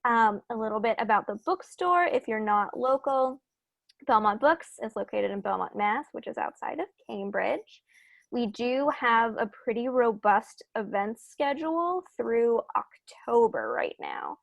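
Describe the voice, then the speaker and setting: A woman's voice: fairly high pitch, animated, unhurried, creaky, dry recording. A woman hosting a virtual book event over a video call, giving introductions in an informal, matter-of-fact way. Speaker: bookstore event host.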